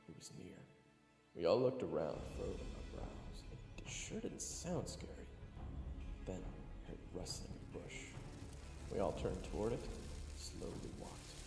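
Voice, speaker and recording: Gravelly, wry male adventurer narrator, acted. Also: low-pitched, echoey room, big-room sound. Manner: wry, casual. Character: sardonic, casual male adventurer telling his own tale. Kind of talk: first-person dramatic narration and acting.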